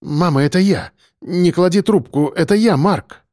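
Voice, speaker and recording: A man's voice: high voice, a little mellow, no room sound, studio quality, voice pushed up, natural voice. A man playing a harried grown son in an audiobook story, insistent and impatient with his mother. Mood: urgent, breathless. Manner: hurried, breathy exhaling, insistent.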